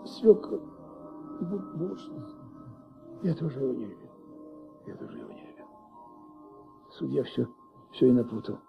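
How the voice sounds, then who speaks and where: Feverish police chief, gravelly, commanding, muttering at times, radio play, music faintly behind. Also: male voice, mid-range voice, sing-song, moderate pace, long pauses. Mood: delirious, mumbling. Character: gruff police inspector, commanding, feverish and weary. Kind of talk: Soviet radio drama acting. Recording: muffled old radio recording, music behind.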